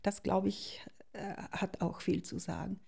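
A middle-aged woman from South Tyrol with a South Tyrolean accent, speaking reflectively as an interview guest in searching, halting phrases. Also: medium pitch, flat delivery, vocal fry, a little mellow, slightly rough, whispered. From South Tyrol, Italy.

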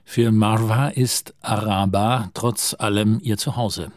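Low-voiced male news presenter. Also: fairly even intonation, slow, dry recording, studio quality. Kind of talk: scripted news narration.

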